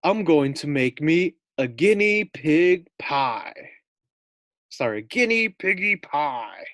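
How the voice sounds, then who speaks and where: A menacing giant out of a children's storybook, put on by a man reading aloud. He speaks slowly and loudly, hungry for his next meal, with a close, dry sound. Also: a little high, very animated, no echo, exaggerated voice.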